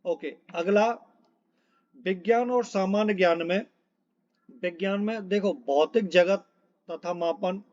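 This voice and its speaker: Male exam tutor with a notably high voice, instructional and repetitive, informal delivery, on a dull low-fidelity recording. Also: slow pace, pauses often, darkish tone. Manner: instructional, repeats points and checks in often. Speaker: exam coaching teacher. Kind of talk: informal syllabus walkthrough, reading out topic lists.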